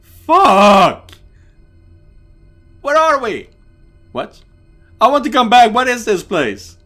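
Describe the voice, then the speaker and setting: A man voicing a frantic, whiny, lustful young man in a comedic animated story, pleading and yelling excitably. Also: extremely high pitch, slow pace, faint music behind, pitched above the performer's own voice, full character voice. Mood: frantic protest.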